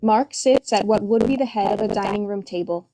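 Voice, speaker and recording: Mellow woman reading stage directions. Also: mid-range voice, fairly quick, rough recording. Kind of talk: scripted narration.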